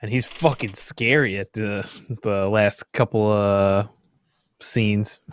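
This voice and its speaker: A man's voice: fairly low pitch, steady tone, slow pace, clean tone, dry recording, studio quality. A young adult man chatting casually and informally, with a sardonic streak and some swearing. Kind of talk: casual group chat.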